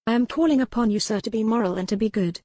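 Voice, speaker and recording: A woman's voice: a little high, quick pace, mellow, dry recording, clean studio sound, voice pushed up, close to an everyday voice. A woman voicing a proud, resolute young noblewoman in a romance audiobook, impassioned, with formal old-fashioned phrasing. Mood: pleading, fierce. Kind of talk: dramatized romance audiobook dialogue.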